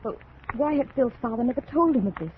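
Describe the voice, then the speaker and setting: A woman's voice: fairly high pitch, expressive, quick pace, close to an everyday voice. A woman playing an earnest, troubled young plantation woman in 1940s radio melodrama, with a dramatic delivery. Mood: grave, wondering. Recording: tinny, noisy old radio recording.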